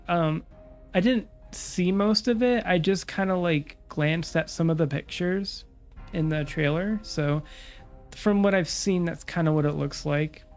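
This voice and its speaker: A young woman VTuber chatting calmly about her stream plans, her nose stuffed up from a cold, with lots of fillers. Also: on the low side. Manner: calm, relaxed, rambling with many fillers. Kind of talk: casual stream chat.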